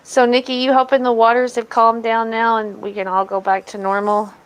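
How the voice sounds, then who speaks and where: A woman's voice: on the high side, level tone, brisk, smooth, low-quality recording. Giggly, teasing woman on a stream. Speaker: panelist on a live stream. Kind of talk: casual group chat.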